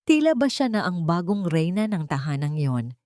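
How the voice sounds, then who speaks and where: A woman's voice: fairly low pitch, sing-song, moderate pace, darkish tone, dry recording, clean studio sound. A woman reading a scripted dramatic story in a solemn, melodramatic manner.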